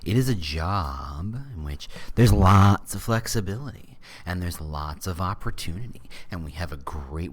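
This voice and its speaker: A man playing an overeager recruiter who gushes about a dream job in an over-the-top sales pitch.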